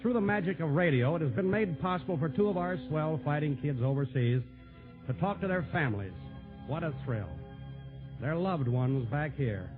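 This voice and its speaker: A man hosting a 1940s radio broadcast, speaking in an earnest, folksy holiday-address style. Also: fairly high pitch. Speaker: radio host. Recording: tinny band-limited 1940s radio recording with music.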